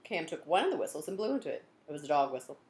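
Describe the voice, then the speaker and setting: A woman reading a children's book aloud in an expressive storytelling style. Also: sits low, rapid-fire, roomy sound. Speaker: storybook reader.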